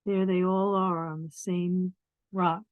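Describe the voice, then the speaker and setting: A woman reading picture books aloud to young children, expressive, pausing to explain things in simple words. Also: lowish voice. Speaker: children's storyteller. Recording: dull, roomy lo-fi sound.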